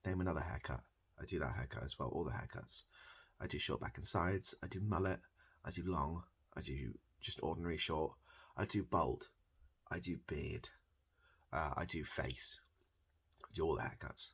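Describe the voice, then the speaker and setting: A man improvising a softly spoken ASMR comedy roleplay as a hairdresser, rambling and repeating himself in a halting, deadpan way. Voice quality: gravelly, husky low voice.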